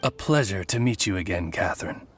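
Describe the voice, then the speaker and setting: A lowish male voice playing a loyal talking raven in a video game. The bird is refined and polite, with formal butler-like phrasing. Soft music sits behind the voice. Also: expressive, fairly quick. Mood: courteous. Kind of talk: scripted video game dialogue.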